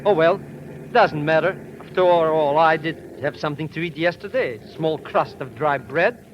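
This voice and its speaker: A man's voice: a little high, moderate pace, lightly acted. A man playing an eager, freeloading comic sidekick with florid, mock-pitiful speech in a vintage radio drama. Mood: wheedling. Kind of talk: 1940s-50s radio drama acting. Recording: noisy, muffled vintage radio recording.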